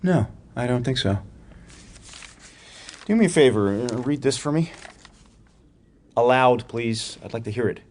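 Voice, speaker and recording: An actor playing an eccentric, sardonic psychology professor in a radio play, self-assured and exacting, with a wry, precise way of talking. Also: male voice, medium pitch, expressive, a little slow, pauses often, darkish tone, slight background noise, natural voice. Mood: probing, brisk. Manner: wry, pedantic, precise wording. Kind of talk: radio theatre dialogue.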